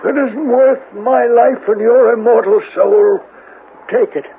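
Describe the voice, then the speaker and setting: An actor playing a weary, remorseful old uncle, halting and sorrowful, in a 1970s radio drama. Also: male voice, extremely high pitch, steady tone. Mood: remorseful, resigned. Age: older. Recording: noisy phone-like old radio drama recording.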